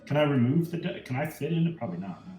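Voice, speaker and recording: A man's voice: a little high, sing-song, rapid-fire, warm tone. A man playing a tabletop roleplaying game, casually speaking as his character and thinking aloud hesitantly. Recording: roomy echo, music underneath.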